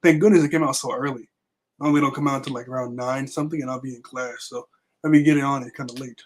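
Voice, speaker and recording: Raspy-voiced young man recapping casually. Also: medium pitch, fairly even intonation, fast talker. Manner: casual, rambling recap with fillers. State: raspy voice recovering from illness. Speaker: manga review vlogger. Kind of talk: casual chat.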